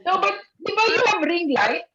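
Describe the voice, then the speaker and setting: A woman's voice: very high voice, expressive, normal speed, a crowd in the background. A middle-aged woman with a Filipino accent, chatting casually and leading an online group meeting. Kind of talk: casual group video-call chat in mixed English and Tagalog. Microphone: video call. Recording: dull, muffled video-call audio.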